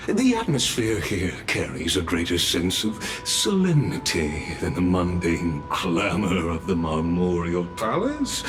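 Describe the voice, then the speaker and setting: A scheming noble host in a video game, his tone sweeping from deep, slow murmurs to lofty highs. He flatters and chuckles as he goes, every word dripping with courtesy, over soft game music. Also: heavily acted. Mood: grandiose. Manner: unctuous, flattering, chuckles often. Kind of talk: game cutscene voice acting. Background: game score music.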